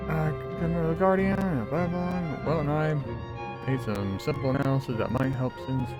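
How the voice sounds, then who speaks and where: A man's voice: fairly high pitch, moderate pace. A man giving casual, informal let's-play commentary, sometimes mumbling through text as he plays. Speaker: video game streamer. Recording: game music behind the voice.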